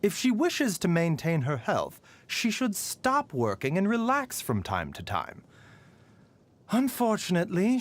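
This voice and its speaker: Courteous game pharmacist, lilting voice. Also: male voice, sits high. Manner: polite, measured, mildly teasing phrasing. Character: soft-spoken, courteous male pharmacist, gently wry and weary. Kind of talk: video game character voicelines. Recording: voice over background music.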